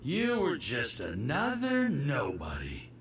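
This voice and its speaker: A man's voice: medium pitch, wildly swooping pitch, takes it slowly, far from the mic, slight background noise, full character voice. A man playing a smug, manipulative foreign agent in a video game, with a patronizing, theatrical drawl. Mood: smug, expansive.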